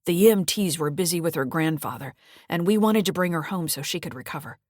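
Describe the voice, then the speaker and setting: A woman's voice: on the low side, lively intonation, quick pace, darkish tone, no room sound, clean studio sound. A woman voicing a plain, practical male FBI agent who explains things in a level way. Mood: explaining.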